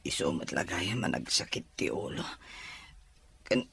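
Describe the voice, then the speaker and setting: A man with a Filipino accent playing a sick, weary grown son, weak and breathy, in an audio drama. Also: unhurried, fairly bright tone, lightly acted. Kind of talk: Ilocano audio drama acting.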